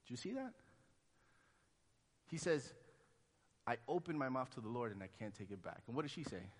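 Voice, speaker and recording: A man's voice: medium pitch, big pitch swings, normal speed, husky. Man preaching in a reverberant hall. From Honduras. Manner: conversational preaching with acted-out quotes. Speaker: pastor. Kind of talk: sermon.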